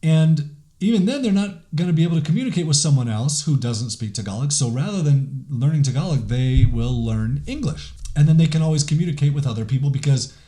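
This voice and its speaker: Guy hosting a podcast solo, warm mellow voice, chatty, lots of likes and restarts. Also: on the high side, animated, fairly quick. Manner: conversational, many "like" fillers and restarts. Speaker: podcast host. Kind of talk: casual solo podcast monologue.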